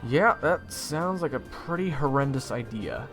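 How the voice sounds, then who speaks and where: Man acting a lively, wry young would-be knight. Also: medium pitch, unhurried, soft background music. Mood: reluctant. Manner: wry, incredulous, casual. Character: exasperated, sarcastic young male would-be knight, narrating his adventure. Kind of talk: fan audio roleplay with first-person narration.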